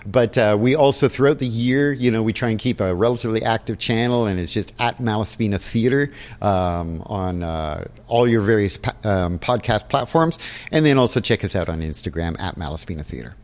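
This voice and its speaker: A man in a casual interview as a theatre technician, talking in a halting, informal way in a reverberant space. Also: on the low side. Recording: reverberant hall.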